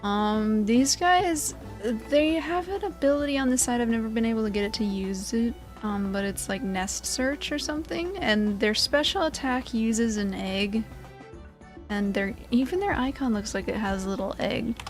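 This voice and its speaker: A woman's voice: a little high, normal speed, soft background music. A woman hosting a gaming video, giving casual let's play commentary with playful, dry joking asides.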